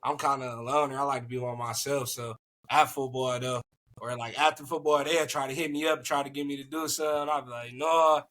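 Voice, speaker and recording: A young college football player from Cleveland talking in a relaxed, reflective way, often adding "you know what I'm saying.". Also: male voice, medium pitch, brisk. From Cleveland, Ohio. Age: young adult. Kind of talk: casual podcast chat.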